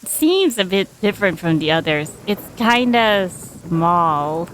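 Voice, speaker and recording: A woman's voice: fairly low pitch, big pitch swings, a little slow, a bit bright, slight background noise, poor audio. A woman streaming a game, casually reading along and reacting aloud as she plays.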